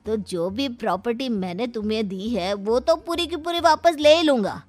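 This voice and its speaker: A gloating, scheming middle-aged father from a cartoon moral story, acted by a woman. The voice is fairly high and full of taunting threat, stretching words for emphasis, over faint music. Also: lively intonation, normal speed, close to an everyday voice. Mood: taunting, threatening. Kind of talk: animated moral story dialogue.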